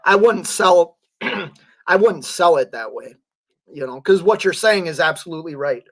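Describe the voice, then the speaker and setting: A man, a truck driver, chatting casually on a livestream and answering viewer comments, his voice going hoarse, halting with fillers and frequent throat clearing. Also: a little high, animated, a bit bright.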